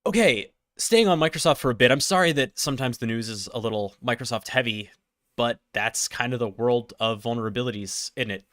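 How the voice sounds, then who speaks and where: A man's voice: mid-range voice, fairly quick, a bit bright, no echo. A man hosting a casual tech news livestream, sniffly with a cold, chatty and laughing between points on a close mic. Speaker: livestream host.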